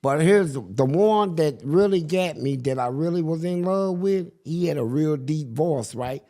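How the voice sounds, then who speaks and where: A man's voice: a little high, normal speed. An older man, a guest in a casual interview, telling crude, boastful stories and acting out what others said. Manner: crude, boastful, animated storytelling with acted-out quotes.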